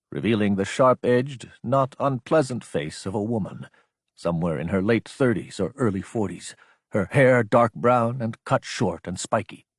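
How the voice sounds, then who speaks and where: A man's voice: mid-range voice, no echo, clean studio sound. A man reading action fiction as a dramatic, scripted audiobook narration.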